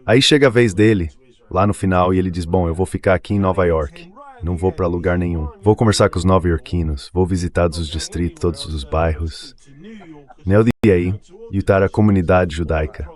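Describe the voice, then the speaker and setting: A man's voice: low voice, fairly even intonation, brisk, a little mellow. A synthetic male voice dubbing a political interview in a conversational style. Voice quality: synthetic text-to-speech voice. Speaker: AI dub voice translating an interview.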